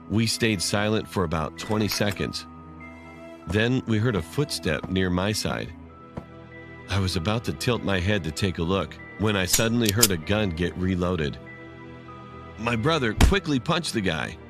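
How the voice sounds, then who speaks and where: Male horror narrator, low deep voice, scripted storytelling over a clear music bed. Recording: prominent music under the voice.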